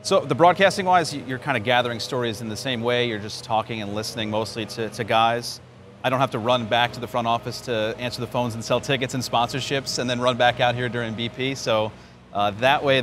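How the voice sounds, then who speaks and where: A man's voice: medium pitch, fairly bright tone. A man from New York with a mid-range voice speaks in an interview. He tells stories in a conversational way, like a working sports announcer off the air. Faint outdoor ambience sits behind him. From New York, United States. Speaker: baseball radio play-by-play announcer. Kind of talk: conversational interview answers, storytelling. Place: outdoors at a spring training ballpark. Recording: clear background noise, outdoor ambience.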